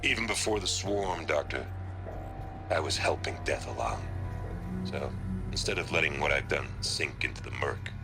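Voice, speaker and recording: An actor with an American accent playing a grave, weary senior military commander in a game cutscene, speaking formally and deliberately. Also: male voice, rumbling low, vocal fry. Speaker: military general. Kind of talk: dramatic game cutscene acting.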